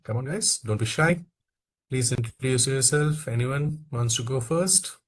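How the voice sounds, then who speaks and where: A man from India leading an online training class, who keeps saying "okay" between phrases and coaxes quiet participants to speak. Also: medium pitch, a little slow. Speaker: instructor.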